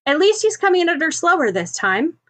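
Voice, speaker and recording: A woman's voice: very high voice, very animated, fairly quick, a bit bright. Woman narrating a scripted story. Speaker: podfic narrator.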